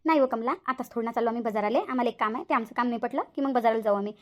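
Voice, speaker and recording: A woman's voice: a little high, racing, lightly acted. A woman voicing a bossy, nosy village woman who plays detective in a cartoon, urgent and commanding, with a Varhadi Marathi accent. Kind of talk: cartoon character dialogue.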